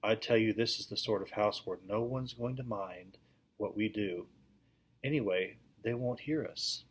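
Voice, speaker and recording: Man reading as an eager eldest schoolboy, confident, enthusiastic, husky voice, storybook. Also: lowish voice, unhurried.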